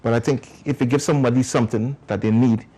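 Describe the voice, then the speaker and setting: Trinidadian man with a husky, mellow voice. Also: mid-range voice, steady tone, fast. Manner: earnest, explains at length. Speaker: community organization founder. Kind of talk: TV interview guest.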